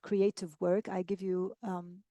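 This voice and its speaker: A woman interpreting live, speaking in halting, piecemeal phrases. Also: fairly low pitch, level tone, mellow, no room sound, clean studio sound.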